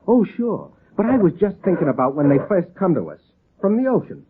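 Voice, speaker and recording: A man playing a patient, folksy circus seal trainer, coaxing and a little halting, in a 1930s radio serial. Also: high-pitched, very animated, a little hiss or hum. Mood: explaining. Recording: tinny, band-limited old radio recording.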